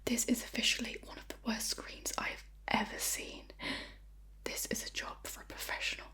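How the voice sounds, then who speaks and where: A woman whispering breathily in an ASMR roleplay, with a fussy, deliberate, mock-scolding manner. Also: sharp tone. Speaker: ASMR creator playing a screen cleaner.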